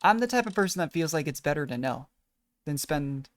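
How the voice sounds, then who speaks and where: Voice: mid-range voice, lively intonation, fairly quick, dry recording. Rambling, casual game streamer. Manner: rambling, thinking aloud. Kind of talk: casual playthrough commentary.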